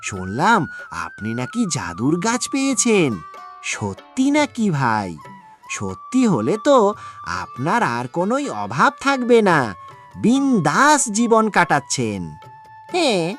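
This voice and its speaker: A woman voicing a nosy, sly villager in a cartoon, with a teasing, drawn-out delivery. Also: mid-range voice, huge pitch swings, fairly bright tone, soft background music, heavily acted. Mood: inquisitive, flattering. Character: nosy, sly, cackling villager spying on a farmer. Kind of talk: animated cartoon story acting.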